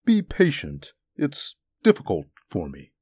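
Guy doing a big calm alien creature, pensive n formal, husky, really swoopy intonation, dry close sound. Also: a little high, unhurried, no room sound, exaggerated voice. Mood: mildly amused. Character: huge, gentle, philosophical alien beast, calm and courteous. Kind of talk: storybook character acting.

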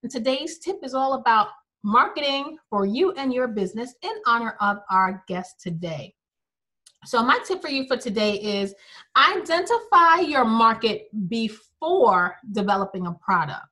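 Podcast host, female, slightly high voice, bright tone, chatty and upbeat. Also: very animated, normal speed. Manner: upbeat, chatty, laughs easily. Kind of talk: casual interview hosting.

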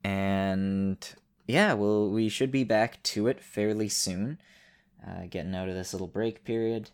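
A man with a creaky voice, speaking as the storyteller and host of an audio drama show. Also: on the low side, steady tone, measured pace. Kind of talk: narration and casual host talk.

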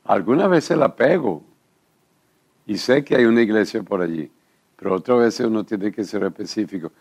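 An older man speaks as a pastor, his voice sitting very low. He talks earnestly and slows on key words. The delivery is calm and pastoral. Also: vocal fry. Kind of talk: church radio conversation.

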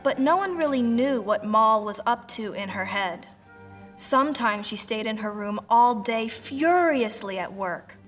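Woman as fairy-tale narrator, whimsical, scripted, fairly high voice, soft music behind. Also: animated, unhurried.

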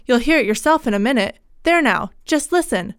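Woman acting an agitated rustic housekeeper, high-pitched. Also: lively intonation, brisk, clean tone, no echo, clean studio sound. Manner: rustic dialect, breathless exclamations.

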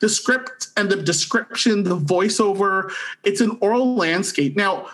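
A man's voice: high-pitched, expressive, a little slow, fairly bright tone. A man giving a casual, enthusiastic review as a podcast co-host, speaking in an effusive, emphatic way.

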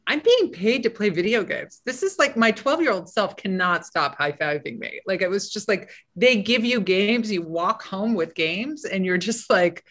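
A woman's voice: mid-range voice, expressive, moderate pace, hardly any pauses, fairly bright tone. A woman screenwriter with a big voice, chatting casually as a podcast guest, self-deprecating and full of restarts.

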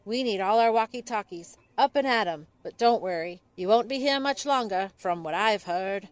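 Perky wartime nurse, played by a woman, high-pitched, brisk, playful and bossy, for audiobook drama. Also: expressive, no echo, close to an everyday voice. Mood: brisk, cheerful.

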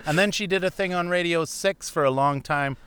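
Middle-aged male podcast guest, digressive casual talker, slightly high-pitched, clean smooth tone. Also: brisk, a bit bright, no echo. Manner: rambling, digressive. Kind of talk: casual chat.

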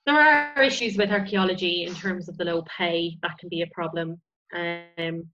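Female archaeologist as interview guest, lower voice, explanatory and conversational, slightly dull lo-fi sound. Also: fairly even intonation, normal speed, bright, forceful tone.

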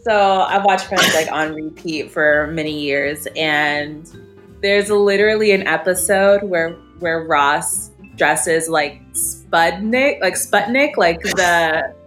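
A woman co-hosting a podcast, chatting excitedly and laughing often. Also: fairly low pitch, slow pace, faint music behind. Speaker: podcast co-host. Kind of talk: casual chat.

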